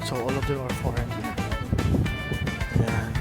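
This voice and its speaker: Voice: mid-range voice, little pitch movement, a little slow, over loud music. A Filipino vlogger chatting casually and giggling while interviewing people at an outdoor tourist spot. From Philippines. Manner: giggly, chatty, switches between Tagalog and English. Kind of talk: casual travel vlog with street interviews. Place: busy outdoor tourist site.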